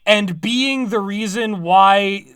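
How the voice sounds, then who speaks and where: A woman's voice: medium pitch, slow, a bit bright, clear, resonant tone, no room sound, studio quality. A woman co-hosting a podcast with casual, playful comedic banter. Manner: playful, riffing. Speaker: podcast co-host.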